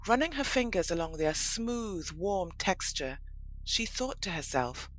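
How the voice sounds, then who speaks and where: A woman's voice: fairly low pitch, sing-song, measured pace, a bit bright, a little hiss or hum, low-quality recording. A woman narrating a scripted children's story for an audio play.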